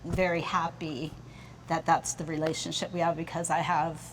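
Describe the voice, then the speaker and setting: A woman in her sixties with a slightly low voice talks earnestly as a podcast guest. Her tone is reflective, broken by light laughs, over a faint hiss. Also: little pitch movement, moderate pace, low-quality recording. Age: 60s. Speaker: guest, mother. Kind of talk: casual podcast chat. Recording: faint background noise.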